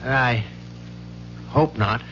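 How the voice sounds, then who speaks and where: An actor playing a worried, polite professor in a radio drama, a man speaking in a halting, hesitant way. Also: medium pitch, music in the background. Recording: dull, noisy vintage radio recording.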